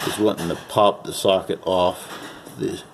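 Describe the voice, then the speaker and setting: A man with a low, gravelly voice gives casual, folksy instructions as a home mechanic. He speaks unscripted while working with his hands. There is faint noise behind him, as on an amateur video. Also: steady tone, a little slow. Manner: casual, folksy instruction, calling parts "her". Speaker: amateur mechanic giving a repair demonstration. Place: at a car's open engine bay.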